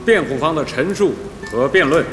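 An actor playing a presiding judge who makes formal, official pronouncements in a courtroom. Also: male voice, fairly high pitch, expressive, normal speed, music in the background, natural voice. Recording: echoey courtroom with long reverb.

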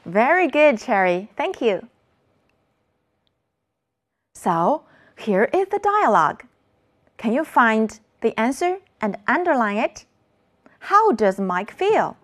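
A woman's voice: on the high side, very animated, takes it slowly, long pauses. A woman teaching children an English lesson, modeling words for the class to repeat.

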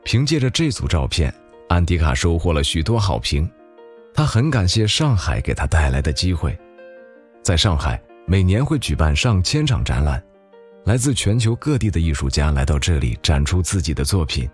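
A man reading scripted news feature narration in a measured, even-paced way. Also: low-pitched. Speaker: documentary narrator. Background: background music.

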